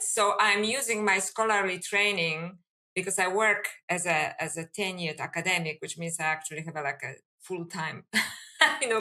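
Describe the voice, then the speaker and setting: A woman with a Polish accent speaking as an interview guest. Her voice is bright and mid-pitched, and she muses through her answers. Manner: thoughtful, musing, with fillers. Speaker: guest, author and academic.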